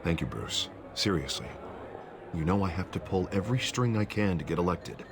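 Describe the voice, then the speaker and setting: Low-voiced, earnest politician. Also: male voice, expressive, normal speed, noisy background. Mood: earnest persuasion. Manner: persuasive, conciliatory. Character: earnest, ambitious male politician, adult. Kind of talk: dramatic video game acting.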